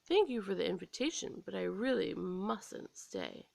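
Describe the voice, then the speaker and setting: A woman's voice: mid-range voice, big pitch swings, measured pace, gravelly, a little mellow, no echo, full character voice. A woman voicing a curious, polite but plucky young girl in a children's storybook.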